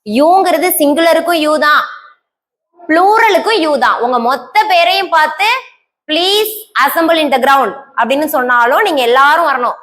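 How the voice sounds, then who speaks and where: A woman teaching a classroom grammar lesson, explaining step by step, quizzing the class and answering herself, and reading English example sentences out slowly word by word. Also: high-pitched, animated, a bit bright. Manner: scolds and teases students lightly between explanations.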